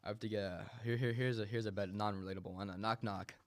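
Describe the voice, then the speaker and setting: A man's voice: on the low side, steady tone, quick pace. A young man hosting a casual comedy chat, laughing often and rambling with many fillers. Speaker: host.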